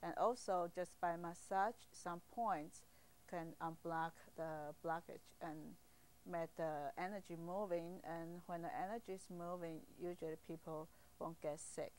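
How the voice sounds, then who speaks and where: Lower-pitched Taiwanese-accented woman, hesitant. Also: fairly even intonation, unhurried, slight background noise. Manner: hesitant, simply phrased non-native English. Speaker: acupuncturist being interviewed. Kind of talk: informal explanatory interview.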